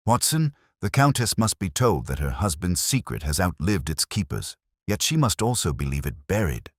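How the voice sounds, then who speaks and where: A man voicing a very deep, solemn master detective. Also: very animated, no echo, clean studio sound. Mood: cold, commanding. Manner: measured, solemn, aphoristic. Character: grave, brooding, coldly cerebral male detective. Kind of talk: dramatised storybook reading.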